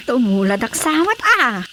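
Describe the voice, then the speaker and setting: An actress with an Ilocano accent playing an excitable, eager village woman in a radio drama comedy. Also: high-pitched, slow, a little hiss or hum, heavily acted. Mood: eager, playful. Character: excitable, eager village woman Sita, copying her neighbour.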